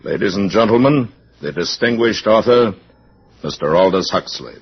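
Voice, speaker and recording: A man's voice: fairly low pitch, animated, normal speed, gravelly, husky. An American man announcing on 1950s network radio in a formal, stately and dramatic style. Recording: muffled vintage radio broadcast, music behind.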